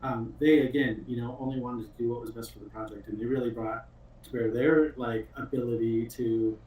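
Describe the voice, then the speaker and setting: A man with a medium-pitched voice chats casually as a game developer. His manner is earnest, appreciative and informal. He sounds slightly distant from the microphone. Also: steady tone, brisk, a little mellow, a little hiss or hum. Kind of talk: casual chat.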